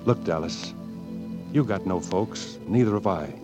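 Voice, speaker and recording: Young cowboy outlaw: low voice, earnest, halting, vintage radio drama with music behind. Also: male voice. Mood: earnest, shy. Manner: halting, plainspoken western talk. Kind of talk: 1940s radio acting.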